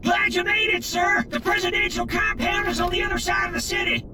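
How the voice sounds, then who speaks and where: A woman playing a frantic soldier in a video game, shouting urgently. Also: very high-pitched, wildly swooping pitch, a little fast, heavily acted. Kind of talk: video game cutscene acting. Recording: distant voice amid loud battle noise.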